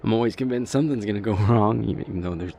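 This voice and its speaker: Man acting a low, stammering, nervous vampire boyfriend. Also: dark tone, natural voice. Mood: nervous, uneasy. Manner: hesitant, stammering, halting nervous speech. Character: nervous male vampire boyfriend afraid of flying. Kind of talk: intimate boyfriend audio roleplay.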